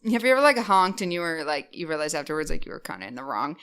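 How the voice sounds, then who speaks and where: Animated young woman chatting with lots of fillers. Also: deep voice, very fast, clear, resonant tone. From Rhode Island. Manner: animated, many "like" fillers, loud bursts. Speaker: podcast co-host. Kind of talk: casual chat.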